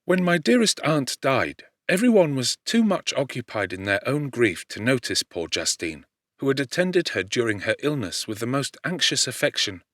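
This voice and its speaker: A male audiobook narrator reading the letter of an affectionate young woman to her cousin, gentle and expressive. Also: mid-range voice, normal speed, no echo, studio quality. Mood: tender. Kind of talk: audiobook reading of a classic novel.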